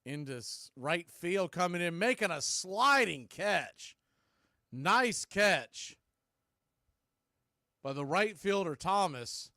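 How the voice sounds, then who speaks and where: A man's voice: expressive, slow pace, pauses often, pushed and bright, no echo. Dry, close-miked male baseball announcer. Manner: clipped short phrases between pitches. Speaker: play-by-play baseball announcer and stream host. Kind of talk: live radio-style play-by-play commentary.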